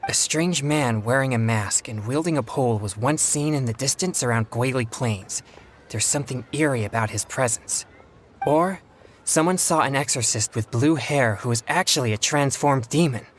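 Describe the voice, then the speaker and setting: A man's voice: mid-range voice, natural voice. Man voicing an earnest young exorcist, noisy game audio. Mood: earnest, pondering. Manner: formal, courteous, measured phrasing. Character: earnest, polite young male exorcist hunting evil spirits. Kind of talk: scripted video game dialogue.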